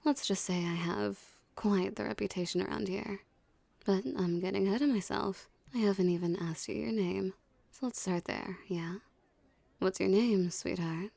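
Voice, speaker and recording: A woman's voice: medium pitch, steady tone, dark tone, no room sound. A woman playing a dominant, teasing mafia boss in an ASMR roleplay, speaking with cool, condescending flirtation. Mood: teasing, reassuring. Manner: smooth menacing flirtation, condescending, unhurried. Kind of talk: ASMR roleplay, scripted character acting.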